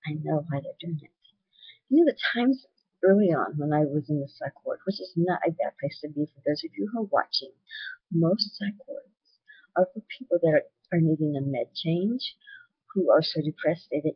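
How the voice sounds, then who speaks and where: Woman vlogger, loud voice, candid and earnest, husky tone, dry muffled webcam sound. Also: sits low, animated, normal speed, no echo. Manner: candid, earnest, sharing personal experience. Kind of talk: personal video talk.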